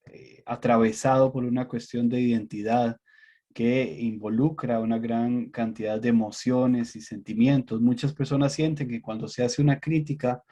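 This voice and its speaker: Hesitant Costa Rican man, husky. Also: mid-range voice, steady tone, mellow. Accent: Costa Rican Spanish. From Costa Rica. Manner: measured and hesitant, many pauses and fillers. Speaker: guest researcher. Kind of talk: academic talk answering questions.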